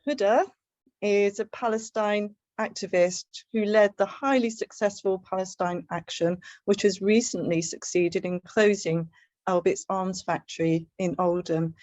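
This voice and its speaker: A woman's voice: medium pitch, fairly even intonation, measured pace, darkish tone, dry recording. A middle-aged woman chairing an online meeting, thanking one speaker and introducing the next. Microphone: video call. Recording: dry, dull lo-fi sound.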